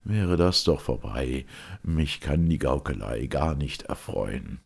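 A man's voice: very deep voice, expressive, takes it slowly, creaky, husky, pitched below the performer's own voice, full character voice. A man playing a grave, cautioning father who declaims solemn verse. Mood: worried.

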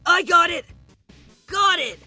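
A man voicing a brash, excitable young boy in a comic dub, speaking in exclamations. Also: very high voice, slow, faint music behind, full character voice. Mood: excited. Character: young boys, excitable and brash, cartoon humans.

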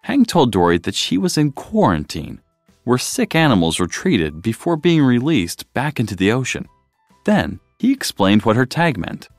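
A man reading a children's storybook aloud as narrator, in an expressive style, close to the mic. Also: mid-range voice, normal speed, dry recording, soft background music.

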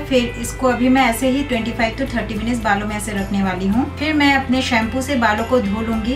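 A young woman calmly walks through a hair care routine step by step, speaking like a beauty vlogger in a small room. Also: on the high side, distant mic. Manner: calm, instructive, steady. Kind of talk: step-by-step tutorial.

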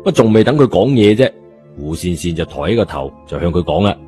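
A man's voice: sits low, expressive, a little fast, warm tone. A man with a Cantonese accent narrating an audiobook in colloquial storytelling style. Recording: background music under the voice.

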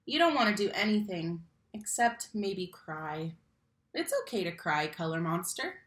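A young adult woman reads a picture book aloud to young children, expressively, stressing key words and speaking to the listeners directly. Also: lowish voice. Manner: animated, slow emphatic phrasing on key words, addresses children directly. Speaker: read-aloud teacher and storyteller. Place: small room at home, indoors. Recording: roomy home webcam sound.

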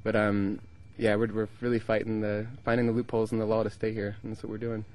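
Low-voiced young American musician. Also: male voice, completely flat delivery, quick pace, darkish tone, rough recording. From United States. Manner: hesitant, lots of ums and restarts. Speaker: band member. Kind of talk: casual interview. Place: indoor festival lounge.

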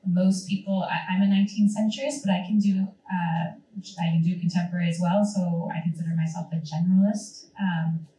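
A woman's voice: lowish voice, fairly quick, a little hiss or hum. Woman with a cold, lecturing in a roomy hall. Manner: relaxed, many ums. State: recovering from a cold, voice cracking. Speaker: museum curator giving a talk. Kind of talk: college career presentation. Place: college lecture room with audience. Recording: echoey room, distant mic.

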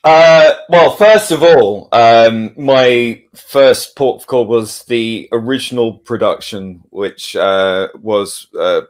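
Slow-talking man on an online call. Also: mid-range voice. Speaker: guest audio producer. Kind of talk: interview answer.

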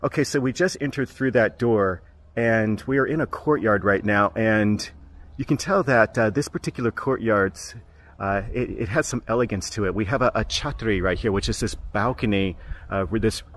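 A man's voice: lowish voice, moderate pace, fairly bright tone. A man narrating a travel vlog and chatting as he walks through a ruined fort outdoors, filming with a handheld camera. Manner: chatty, explains as he walks, laughs now and then. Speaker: travel vlogger. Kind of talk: travel narration and walking tour commentary.

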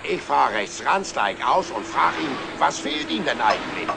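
Jovial gravelly trucker. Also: male voice, medium pitch, expressive, moderate pace. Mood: storytelling, animated. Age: middle-aged. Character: jovial middle-aged trucker telling tall tales. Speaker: actor. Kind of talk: 1960s TV drama acting, anecdote telling. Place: outdoor truck stop café. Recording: noisy old 1960s TV soundtrack.

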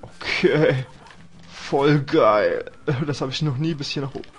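A man's voice: medium pitch, a little slow, breathy edge. A young man with a cold, casually rambling and joking to himself while commentating a video game. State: has a cold. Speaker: let's play host.

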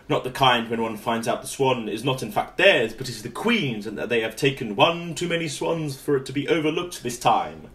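An actor playing a pompous, inept posh British brother in a radio comedy, grandiose and formal but hesitant. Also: male voice, medium pitch, expressive, normal speed, fairly bright tone, close to an everyday voice. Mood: pompous, rueful. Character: pompous, inept posh brother running a failing antique shop.

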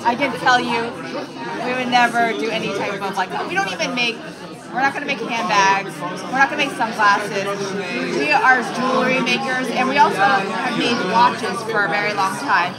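A young woman from Texas, chatting in a lively way at a busy event, filmed on a phone at arm's length. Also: on the high side, moderate pace. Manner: lively, laughing, talks with her hands. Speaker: event guest. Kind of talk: casual chat. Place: busy indoor store event. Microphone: phone camera at arm's length. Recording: loud crowd chatter behind.

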